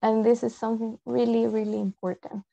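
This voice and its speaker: A young woman with a Peruvian Spanish accent presents in an informal, explanatory way, often rephrasing her points. Her voice is dark and relaxed. The sound is dull and lo-fi, as on a video call. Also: a little high, fairly quick, vocal fry. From Peru. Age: young adult. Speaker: translator giving a presentation. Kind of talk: informal online lecture. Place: indoor room, on a video call.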